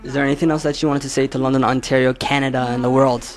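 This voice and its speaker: A man's voice: mid-range voice, very fast. Male interviewer, easygoing questions, mid-range voice, listens more than he talks. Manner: calm, many soft "mm-hmm" replies. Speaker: interviewer, show host. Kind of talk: casual interview.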